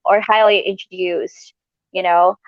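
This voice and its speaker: A woman's voice: fairly low pitch, fairly even intonation, unhurried, no room sound. Chatty woman from Malaysia, dull audio. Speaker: live stream co-host. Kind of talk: casual live stream chat. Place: living room at home.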